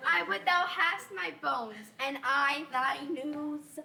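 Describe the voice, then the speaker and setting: Eager young Shakespearean heroine woman performer: bright, high, unhurried, impatient in love; roomy sound with faint noise. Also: vocal fry, full character voice. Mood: coaxing, exasperated. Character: impatient, lovesick teenage girl, eager and coaxing. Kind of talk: theatrical Shakespearean acting. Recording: echoey room with background noise.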